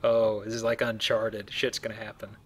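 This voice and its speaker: A man's voice: on the low side, completely flat delivery, normal speed, a little hiss or hum. Man doing casual game commentary, faint ambience. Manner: explanatory, joking. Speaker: let's play host.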